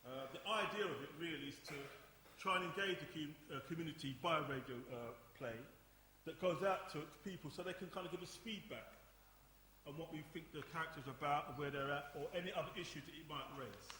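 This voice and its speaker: Bright-voiced man presenting in an echoey hall. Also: on the high side, brisk. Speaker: presenter of a radio play project. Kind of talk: informal live introduction to an audience. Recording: echoey hall, hiss and noise.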